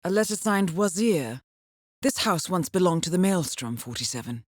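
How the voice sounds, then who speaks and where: An actress playing a composed, dryly witty intelligence handler in a video game, briefing an assassin in a formal, understated, ironic manner. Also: female voice, on the low side, animated, normal speed, no echo, studio quality. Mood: composed, businesslike. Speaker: mission handler.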